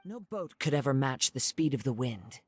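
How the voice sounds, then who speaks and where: A man playing a calm, poetic young wandering swordsman in an anime game, speaking in measured, eloquent phrases. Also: mid-range voice, husky, dry recording. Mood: cool, critical. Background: background music.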